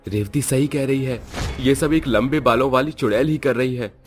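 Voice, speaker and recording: A man's voice: mid-range voice, moderate pace, faint music behind, voice pushed up, lightly acted. A man voicing frightened, bewildered village men in a horror story, agitated and dramatic. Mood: distressed.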